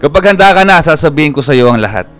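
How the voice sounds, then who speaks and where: A man's voice: on the high side, lively intonation, fast, fairly bright tone. A man playing an aloof, irritable, secretive older brother with a Filipino accent in a radio drama, brusque and dismissive. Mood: guarded. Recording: music bed behind the voice.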